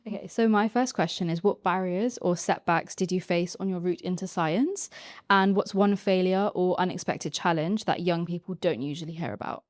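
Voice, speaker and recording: Low-pitched woman interviewer, dry sound. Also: normal speed, no room sound. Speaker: interviewer, co-host. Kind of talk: live panel questioning.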